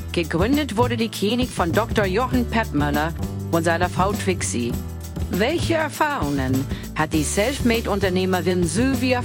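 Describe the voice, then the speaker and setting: Lowish woman's polished promo voice-over. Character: polished female clinic promotional video narrator. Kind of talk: scripted advertising narration.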